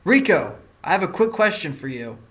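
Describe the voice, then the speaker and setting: A man's voice: sits high, very animated, moderate pace, very noisy. A man playing a confident fox defence lawyer with an assertive, probing courtroom manner in an audio drama.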